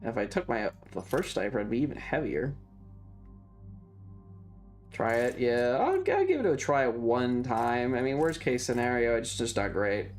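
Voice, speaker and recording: A woman's voice: very deep voice, sing-song, normal speed, a little mellow, soft background music. A woman giving casual gameplay commentary as a streamer, offhand and thinking aloud. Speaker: game streamer.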